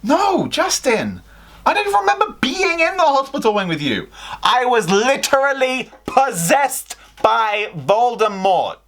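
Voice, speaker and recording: A man's voice: very high-pitched, big pitch swings, a little slow, fairly bright tone, voice pushed up, full character voice. A man voicing an exasperated teenage schoolgirl from a story, firm and emphatic but trying to be kind.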